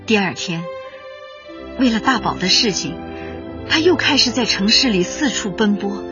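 An actress playing an earnest young community worker, narrating a radio drama in a solemn, heartfelt, literary way. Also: female voice, mid-range voice, lively intonation, normal speed, lightly acted. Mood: moved, regretful. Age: young adult. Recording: dull band-limited sound under loud music.

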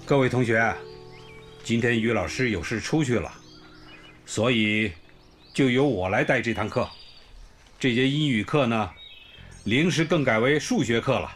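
A man's voice: medium pitch, measured pace, pauses often, fairly bright tone, close to an everyday voice. A male actor playing a kindly, reassuring village primary school principal in a radio drama. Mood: calm, teacherly. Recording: music behind the voice.

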